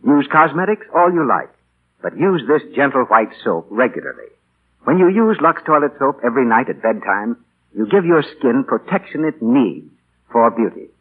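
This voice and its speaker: A man's voice: medium pitch, very animated, normal speed, breathy edge. A man announcing in scripted 1940s radio style, as a sponsor's spokesman. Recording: muffled, tinny 1940 radio broadcast.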